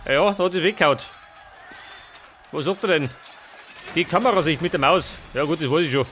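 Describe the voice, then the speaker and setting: A man's voice: on the high side, brisk, fairly bright tone. A man with a Bavarian accent giving casual let's play commentary, often muttering questions to himself. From Bavaria, Germany. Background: game music.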